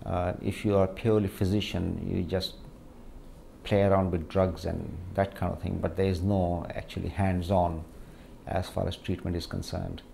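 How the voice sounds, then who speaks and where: A middle-aged man from Pakistan, a surgeon speaking hesitantly and reflectively with many pauses in a documentary interview. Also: low voice, flat delivery, gravelly, mellow, a little hiss or hum. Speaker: hand surgeon.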